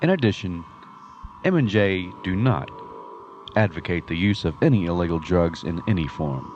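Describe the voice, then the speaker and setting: Deep-voiced male radio show announcer. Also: animated, normal speed. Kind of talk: scripted show outro and disclaimer. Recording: dull, slightly noisy lo-fi audio.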